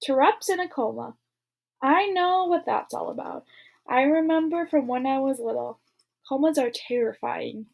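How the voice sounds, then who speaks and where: A woman's voice: high voice, moderate pace, creaky. A woman voicing a guilt-ridden, upset schoolboy, reading his gloomy, bitter thoughts aloud. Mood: scared.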